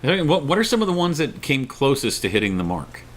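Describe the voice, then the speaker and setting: A man's voice: medium pitch, sing-song, fast. A man co-hosting a casual, opinionated discussion, emphatic and repeating words for stress. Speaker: talk show co-host. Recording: noticeable background noise.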